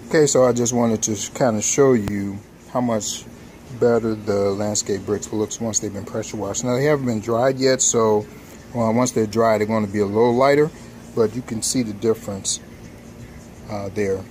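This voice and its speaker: A man with a lowish voice talks his way through a home project while filming it on his phone outdoors. He rambles easily, full of "um" and "you know", and there is a faint hiss of outdoor noise behind him. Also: moderate pace. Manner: relaxed, rambling, many fillers and restarts. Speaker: home improvement vlogger. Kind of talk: casual filming-as-he-works walkthrough. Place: outdoors in a home front yard. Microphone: handheld phone camera.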